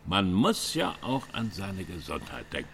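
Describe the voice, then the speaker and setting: Easygoing work mate in a radio play, low voice that swings all over, casual banter. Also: male voice, huge pitch swings, normal speed, a little hiss or hum, heavily acted. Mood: laconic, casual. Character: laid-back male office colleague chatting over breakfast. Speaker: supporting actor.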